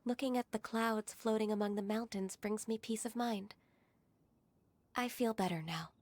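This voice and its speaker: A woman voicing a gentle, dutiful half-divine secretary in a game, speaking in a soft, measured, wistful way. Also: medium pitch, fairly even intonation, no room sound, lightly acted. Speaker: game character.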